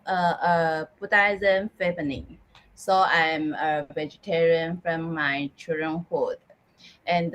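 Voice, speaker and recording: A woman's voice: fairly low pitch, fairly bright tone. A woman from Taiwan introducing herself hesitantly in English at a meditation meeting. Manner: hesitant, with small laughs. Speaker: meeting participant.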